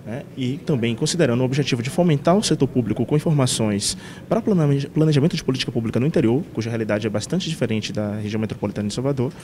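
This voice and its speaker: A man's voice: mid-range voice, racing, mellow, slight background noise. A man, a research director answering an interview on a handheld mic, fluent and official. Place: meeting room indoors. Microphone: handheld reporter mic.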